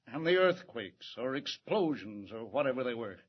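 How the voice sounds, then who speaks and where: An actor playing an anxious, gruff middle-aged male police commissioner in 1940s radio acting. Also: mid-range voice, sing-song, moderate pace. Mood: worried. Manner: gruff, worried, urgent. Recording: muffled vintage radio broadcast.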